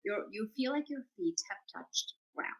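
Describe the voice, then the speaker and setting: A woman's voice: mid-range voice, lively intonation, unhurried, low-quality recording. A woman in her forties, a playwright talking in an interview, earnest and reflective, often restarting and searching for words. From grew up in Argentina and India. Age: 40s. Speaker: guest, playwright and survivor.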